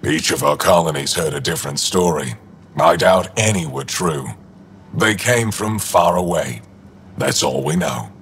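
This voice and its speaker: An actor playing a gruff, war-hardened alien resistance leader in a video game, terse, dry and cynical with a gravelly voice. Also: male voice, deep voice, lively intonation, measured pace, fairly bright tone, heavily acted. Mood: blunt, grim. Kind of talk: video game dialogue acting.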